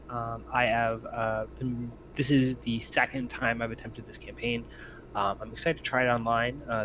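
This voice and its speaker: Male game master, mid-pitched, casual explainer, muffled mic with clear noise.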